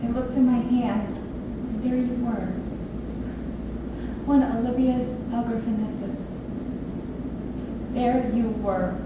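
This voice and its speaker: A woman's voice: on the high side, fairly even intonation, takes it slowly, pauses often. An actress playing an earnest, intense grown daughter of an abusive father in a staged play reading. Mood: intense, moved. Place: theatre lab room with an audience area. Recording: very echoey room, distant mic, noisy.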